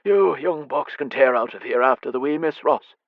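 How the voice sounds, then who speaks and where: A man voicing a grizzled older Irish stablemaster who speaks gently with a lilting, upward inflection. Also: medium pitch, normal speed, no room sound, highs cut off, pitched above the performer's own voice, natural voice. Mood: reassuring.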